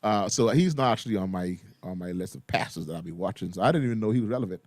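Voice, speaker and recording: A man co-hosting a morning show in casual chat, quick to laugh. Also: fairly low pitch, no room sound, clean studio sound. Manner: animated, laughs readily. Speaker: co-host of a morning radio show. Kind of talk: casual chat, reacting to listener comments.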